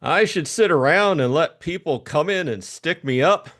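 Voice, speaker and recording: A man voicing a tough, cynical private detective in a crime audiobook, blunt, slangy and needling, with crisp delivery. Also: on the high side, expressive, moderate pace, fairly bright tone, voice pushed up. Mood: hard, needling.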